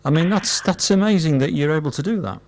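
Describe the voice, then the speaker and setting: A man's voice: a little high, fast, a little mellow, slight background noise. A middle-aged or older man, interviewing a guest conversationally on the radio.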